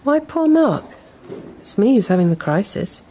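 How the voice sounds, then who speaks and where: A woman's voice: mid-range voice, sing-song, moderate pace, dark tone, slight background noise, close to an everyday voice. An actress playing a weary, self-absorbed woman in a radio drama. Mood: weary, self-pitying.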